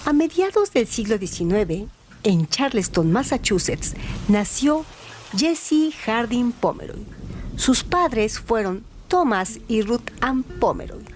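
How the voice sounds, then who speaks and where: A woman narrating a scripted dramatic radio story in a theatrical, deliberate way. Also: a little high, sing-song, slight background noise. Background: music bed.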